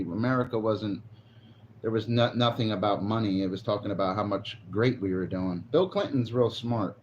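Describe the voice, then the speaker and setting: A man's voice: fairly low pitch, darkish tone, lo-fi sound, slight background noise. A forty-year-old man livestreaming, chatting casually and rambling, swearing now and then. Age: 40s. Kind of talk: casual live stream chat. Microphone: phone on a selfie stick.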